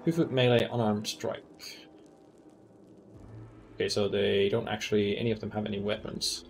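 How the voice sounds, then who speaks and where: Low, gravelly man thinking aloud over a game. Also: level tone, moderate pace, soft background music. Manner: thinks aloud with many ums, musing over loot and rules. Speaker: game streamer. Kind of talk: casual gameplay commentary.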